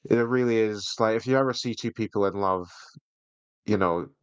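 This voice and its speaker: Guy with a lowish voice, chatty keen podcast reviewer, bit hesitant, close dry sound. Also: normal speed, clear, resonant tone, no echo. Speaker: podcast host reviewing audio drama. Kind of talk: casual review chat.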